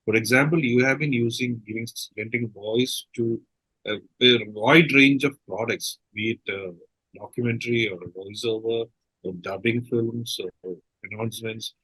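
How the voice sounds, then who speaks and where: A male interviewer with an Indian accent leads the discussion. He speaks haltingly at a medium pitch and rephrases his questions. The sound is dry, with no room echo. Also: fairly even intonation, fairly bright tone, slightly rough. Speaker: interviewer, moderator. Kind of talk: question-and-answer moderating.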